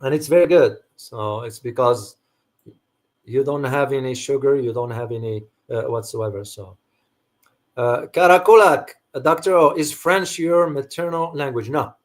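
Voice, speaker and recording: A man's voice: medium pitch, normal speed. A middle-aged man, raised in Morocco with Arabic as a first language, hosting a casual livestream chat and answering viewers with rambling restarts. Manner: rambling, frequent restarts and fillers, thanks viewers by name. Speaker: host answering viewer questions.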